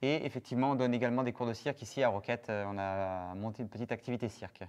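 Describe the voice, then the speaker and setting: French circus performer; halting interview answers, clean resonant tone. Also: male voice, mid-range voice, fairly even intonation, a little mellow, clean tone. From France, Toulouse. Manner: hesitant, many fillers.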